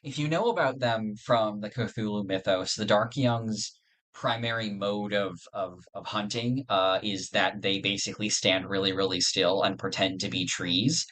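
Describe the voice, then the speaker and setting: A man's voice: lowish voice, fairly even intonation, normal speed, a bit bright. A man in a casual podcast discussion, explaining fictional lore in earnest detail. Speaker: podcast panelist.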